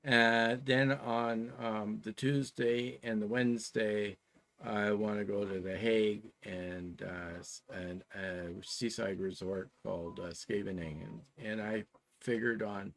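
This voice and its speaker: Gravelly low-pitched Canadian man in his sixties. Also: steady tone, unhurried, fairly bright tone. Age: 60s. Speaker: live show co-host. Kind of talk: casual chat explaining travel plans.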